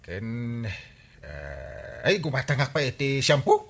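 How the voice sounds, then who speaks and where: An actor playing a blustering, wheedling village captain in an Ilocano radio comedy drama, cajoling with hesitations and exclamations. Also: male voice, medium pitch, big pitch swings, takes it slowly, gravelly, full character voice. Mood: sheepish.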